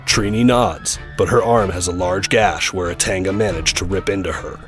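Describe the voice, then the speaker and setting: A man's voice: deep voice, normal speed, music in the background. A man giving scripted, present-tense action narration for an audio drama.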